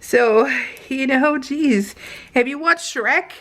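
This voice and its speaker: A woman's voice: sits high, slow, a bit bright. A middle-aged woman chatting casually over a craft video, gushing and slangy, telling stories with plenty of exclamations. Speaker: craft vlogger.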